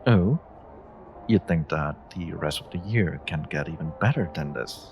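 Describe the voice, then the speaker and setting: A man playing a flirty, charming stranger in an intimate romantic audio roleplay, with teasing, drawn-out, murmured lines. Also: extremely low pitch, expressive, a little mellow, faint music behind. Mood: pleased, teasing.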